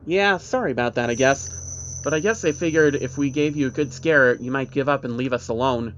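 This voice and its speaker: A man's voice: medium pitch, brisk, fairly bright tone, lo-fi sound, a little hiss or hum, lightly acted. A man voicing a guarded, evasive but firm woman from a secretive group in a narrated horror story. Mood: grudgingly apologetic.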